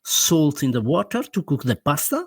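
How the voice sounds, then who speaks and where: A man's voice: fairly high pitch, lively intonation, moderate pace, creaky, breathy edge, dry recording. A man from Italy with an Italian accent, a guest in an interview, talking in a hesitant way full of fillers and restarts. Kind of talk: conversational interview.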